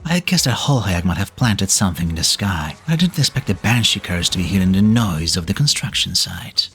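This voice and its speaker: An actor playing an ancient, weary, wry male being in a fan-dubbed game drama, speaking in a slow, reflective, breathy way. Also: low voice, animated, a bit bright, slight background noise. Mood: grudging admiration. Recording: background music under the voice.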